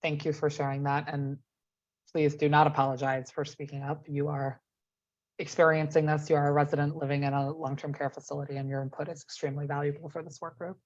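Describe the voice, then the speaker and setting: A woman with a cold leading an online policy meeting, courteous and measured, often pausing on ums. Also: low voice, level tone, darkish tone. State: has a cold, losing her voice. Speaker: meeting facilitator. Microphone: video call. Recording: dull lo-fi video call audio.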